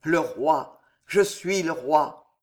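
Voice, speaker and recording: A man's voice: on the high side, very slow. A reader voicing a young king gripped by paranoid terror, feverish, moving from tender confession to shrieking for his guards in an audiobook. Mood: anguished tender. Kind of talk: audiobook character reading.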